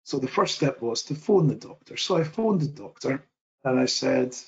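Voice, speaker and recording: A middle-aged man giving an online presentation in a modest, measured way, often restarting his sentences. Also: mid-range voice, lively intonation, gravelly, highs cut off. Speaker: webinar presenter.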